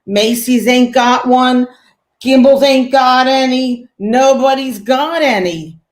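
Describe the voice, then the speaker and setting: A woman's voice: high-pitched, slow. A woman playing a brash, plain-spoken mother with blunt, slangy talk in a 1940s-style radio play. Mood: exasperated. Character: brash, plain-spoken, exasperated working-class city mother.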